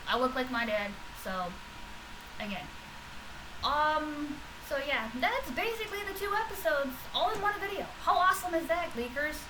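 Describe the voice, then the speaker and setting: A woman with a loud, fairly high voice rambles through a casual reaction vlog. She talks off the cuff, full of fillers and restarts. Steady background noise sits clearly under the recording. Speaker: vlogger reacting to podcast episodes.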